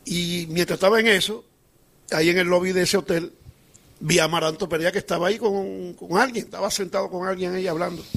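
A man's voice: high-pitched, fairly even intonation, fast, creaky, fairly bright tone. A man who is a sports journalist with a Colombian Caribbean accent, telling a rambling anecdote with many restarts.

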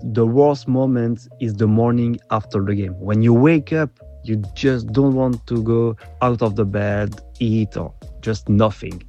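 A man's voice: mid-range voice, fairly even intonation, measured pace, warm tone, highs cut off, faint music behind. Subdued man from Belgium. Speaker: football fan. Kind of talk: recorded listener message.

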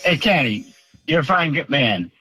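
A man's voice: fairly high pitch, lively intonation, normal speed, pushed and bright, slightly rough, no room sound, faint music behind. A man calling into a radio show, talking in a hesitant, agreeable way over a phone line. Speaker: caller. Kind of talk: call-in radio chat.

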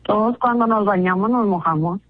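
A woman's voice: medium pitch, moderate pace, phone-quality sound. A woman in a casual phone chat, talking politely and patiently with an amused manner. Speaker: phone participant in a radio prank.

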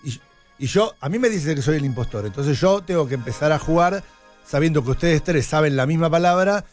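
Fast-talking Rioplatense man explaining. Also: a little high, expressive, faint music behind. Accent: Rioplatense Spanish. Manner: quick, explaining with restarts. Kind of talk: casual studio chat.

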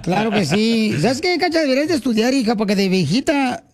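A man with a Mexican accent hosting a joking radio show, teasing and animated, full of folksy slang. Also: extremely high pitch, fairly quick. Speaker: comedy radio show host.

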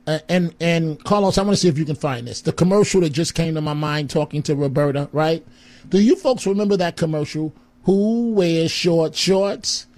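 A man's voice: a little high, normal speed, a little mellow. A man hosting a call-in radio show, friendly and exclamatory, with rambling asides. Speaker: radio talk show host.

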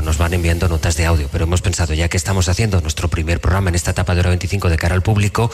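Male radio presenter, Spain accent, low register, brisk delivery, conversational live hosting. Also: a little mellow, breathy edge. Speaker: radio host. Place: live radio show in a venue before an audience.

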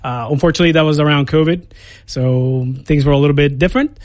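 A man's voice: a little high, fast talker, darkish tone, no echo. A man from Puerto Rico in his thirties, an Air Force recruiter, talking casually in a radio studio interview with many fillers and restarts.

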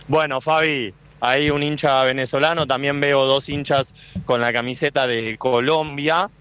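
A man with an Argentine accent doing live street interviews for radio outside a stadium, chatty and quick with follow-up questions. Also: mid-range voice, fairly even intonation, a bit bright, clean tone. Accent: Argentine Spanish. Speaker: field reporter.

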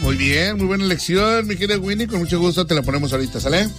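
Guy hosting a call-in radio show, kinda high voice, jokey and slangy, music playing behind. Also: animated, fast talker. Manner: playful, teasing, slangy. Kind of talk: casual call-in show chat with dedications and plugs.

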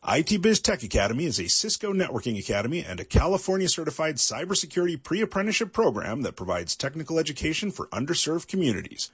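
A man's voice: fairly high pitch, sing-song, fast talker, hardly any pauses, slightly rough, no room sound. A man announcing a scripted radio promo as an advert voice-over.

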